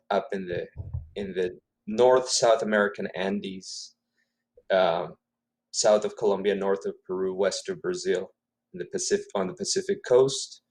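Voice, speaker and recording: A man's voice: fairly low pitch, darkish tone, poor audio. A man from Ecuador whose first language is Spanish, speaking as an author guest in a casual interview, thoughtful and often repeating himself as he finds his words.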